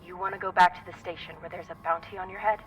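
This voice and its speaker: A woman's voice: fairly low pitch, fairly even intonation, fast talker, recorded from a distance, dull audio, soft background music, natural voice. A woman playing a wry, sceptical space scavenger crewmate in a video game cutscene, with dry delivery. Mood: probing. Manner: dry, sardonic.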